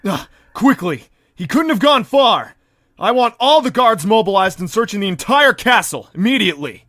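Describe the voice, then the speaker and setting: An actor playing a commanding yet affectionate young pony prince, earnest in manner, in an audio drama. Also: male voice, high-pitched, very animated, normal speed, pushed and bright, no room sound, full character voice. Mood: urgent command.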